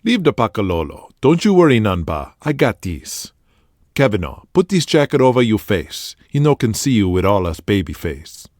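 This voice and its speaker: A man's voice: fairly low pitch, big pitch swings, normal speed, darkish tone, dry recording, studio quality. A man voicing a cocky, easygoing Hawaiian star wrestler with a Hawaiian accent, breezy and boastful, in pidgin grammar. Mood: breezy, reassuring.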